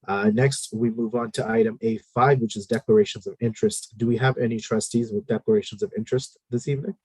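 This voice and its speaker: Low, dark-voiced man chairing a meeting. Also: fairly even intonation, breathy edge, no room sound, low-quality recording. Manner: procedural, measured, frequent "uh" fillers. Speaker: committee chair.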